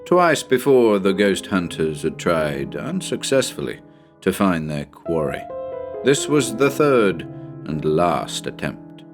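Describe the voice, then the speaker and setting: A man hosting horror story readings, giving a scripted introduction in a measured, theatrical storyteller's manner. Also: deep voice, big pitch swings, music in the background.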